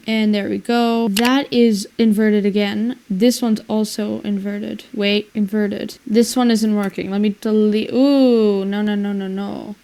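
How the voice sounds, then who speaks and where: A woman's voice: medium pitch, a little mellow. A woman narrating her video editing in a casual, rambling way, thinking aloud and exclaiming to herself. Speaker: video editor and creator narrating her screen work.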